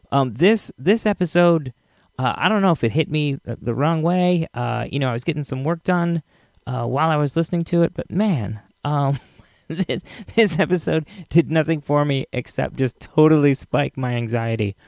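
A man gives casual podcast commentary in a creaky voice of medium pitch. He rambles, hesitates and sounds wryly amused, recorded dry and close. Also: moderate pace, a little mellow, dry recording. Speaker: podcast host.

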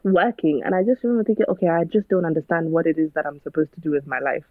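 A woman's voice: low voice, steady tone, fast, dry recording. A woman speaks earnestly and drifts into preaching, piling up rhetorical questions. Her voice comes through a narrow, phone-like channel, dry and close, with no room sound. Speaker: guest. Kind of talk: casual conversation.

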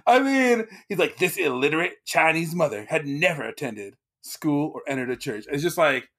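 A man chatting casually about a book, wry and often laughing, halting with restarts. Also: on the high side, lively intonation, fairly bright tone. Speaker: podcast co-host.

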